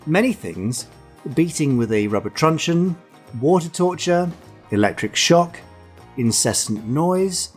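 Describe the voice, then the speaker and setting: A man's voice: on the high side, lively intonation, measured pace, breathy edge, faint music behind. A man hosting a light-hearted podcast, speaking in a wry, mock-serious and theatrical way. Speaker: podcast host. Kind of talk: light-hearted podcast chat with read-out segments.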